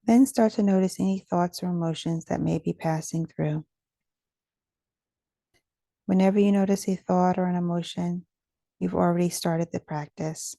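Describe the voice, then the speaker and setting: A woman leading a guided meditation in a gentle, soothing, measured way, with pauses between phrases. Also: on the low side, fairly even intonation, vocal fry, no echo.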